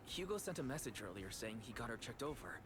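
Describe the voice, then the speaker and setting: An actor playing a caring, practical young hero in a video game, speaking casually and with concern. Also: male voice, mid-range voice, big pitch swings, fast talker, lightly acted. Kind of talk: scripted video game dialogue. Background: game music.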